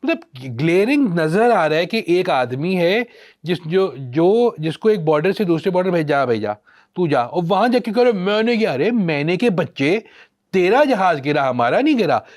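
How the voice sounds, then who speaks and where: A man's voice: sits high, very animated, normal speed. A man with a Pakistani accent giving opinionated film commentary in an emphatic, sarcastic way, often posing rhetorical questions. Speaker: podcast guest, film critic.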